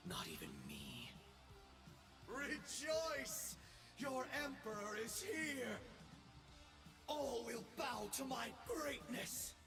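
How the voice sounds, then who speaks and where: Young tyrant emperor for a game: arrogant, pompous, fairly high and gravelly, veering from roar to whisper. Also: male voice, full character voice. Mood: exultant. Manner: pompous, gloating, swinging between shouts and whispers. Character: arrogant, power-mad young male self-proclaimed space emperor. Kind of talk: theatrical video game voice acting. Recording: loud music behind the voice. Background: music.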